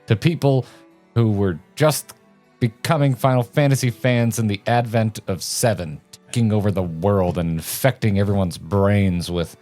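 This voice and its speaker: A man co-hosting a video game show, talking casually in an animated, rambling and opinionated way. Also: fairly low pitch, moderate pace, soft background music. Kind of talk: casual chat and commentary.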